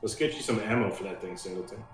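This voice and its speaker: A man's voice: on the low side, quick pace, faint music behind. A man chatting casually as a player in a tabletop game, recorded in a roomy, echoey space.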